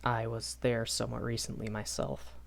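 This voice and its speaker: A man's voice: medium pitch, level tone, normal speed, creaky, natural voice. A young man playing a shy, kindly magic academy professor in a rambling audio roleplay, stammering and hesitant. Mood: earnest, reassuring. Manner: hesitant, stammering, nervous chuckles, apologetic.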